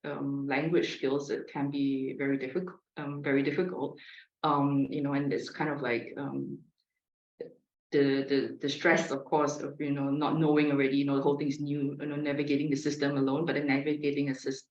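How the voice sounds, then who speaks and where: A woman's voice: sits low, darkish tone. A woman speaking as a psychologist on a panel, hesitant, with many fillers and restarts. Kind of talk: panel talk. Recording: echoey, muffled call audio.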